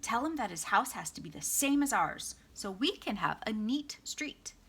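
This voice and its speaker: A woman's voice: a little high, very animated, normal speed, fairly bright tone, husky, full character voice. A woman voicing fussy, scandalized gossiping neighbors from a storybook, exaggerated and disapproving. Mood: indignant.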